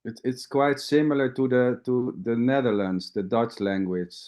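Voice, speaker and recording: A man in a casual group chat who speaks in a slow, deliberate way. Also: mid-range voice, steady tone, darkish tone.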